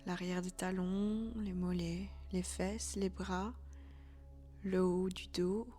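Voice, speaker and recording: A woman leading a guided relaxation as a yoga instructor, speaking softly and calmly with breathy, near-whispered phrases. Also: lowish voice, takes it slowly. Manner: soft, calm, soothing delivery with breathy, near-whispered phrases. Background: background music.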